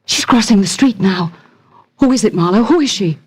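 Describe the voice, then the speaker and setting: A woman's voice: mid-range voice, animated, normal speed, breathy edge. A woman playing a distraught, frightened wife in a 1940s radio melodrama. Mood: frightened, urgent. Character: distraught, frightened young wife in a noir mystery.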